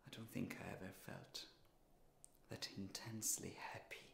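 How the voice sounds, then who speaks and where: An actor playing a despairing, insecure man in his thirties in a confessional, halting dramatic monologue. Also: low voice, vocal fry, a bit bright, a little hiss or hum, exaggerated voice. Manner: confessional, halting, deliberate pauses. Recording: roomy, echoing sound.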